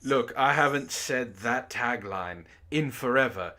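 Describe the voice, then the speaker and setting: A man's voice: mid-range voice, animated, a little slow, bright, forceful tone. A man hosting a casual group book reading, chatting to his audience and reading aloud in a playfully theatrical, teasing way.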